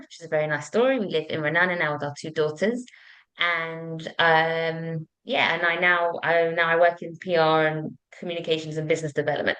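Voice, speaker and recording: A woman's voice: fairly low pitch, a bit bright, poor audio. A woman hosting an online panel in an informal, conversational way. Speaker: webinar host.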